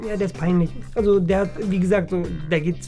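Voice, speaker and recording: A man's voice: high-pitched, fairly even intonation, quick pace, music in the background, lightly acted. A young man playing an embarrassed, exasperated teenage son in a family comedy sketch, whiny and flustered. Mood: explaining. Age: young adult. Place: indoors, school corridor and small rooms.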